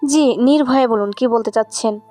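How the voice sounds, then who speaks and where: Woman playing a high-voiced, feisty schoolgirl. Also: normal speed, mellow, faint music behind, lightly acted. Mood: calmer. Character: feisty schoolgirl, stern and scolding, quick to soften. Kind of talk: audio story dramatization.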